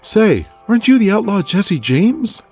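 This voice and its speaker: A man performs a twelve-year-old wrestling fan in an audio drama. The boy sounds shy, earnest and starstruck, pitched up from the actor's natural register. Light music plays behind. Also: big pitch swings, a little slow, a little mellow, husky, full character voice.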